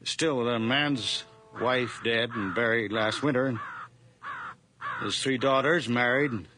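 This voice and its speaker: A man's voice: medium pitch, sharp tone. A man playing a kindly, lonely old widowed farmer in a 1980s radio drama, speaking in a plain, folksy, hesitant way. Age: older.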